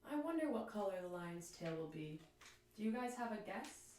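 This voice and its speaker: A woman reading a children's storybook aloud like a teacher, with expressive, deliberate phrasing. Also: medium pitch, slight background noise. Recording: echoey room, distant microphone.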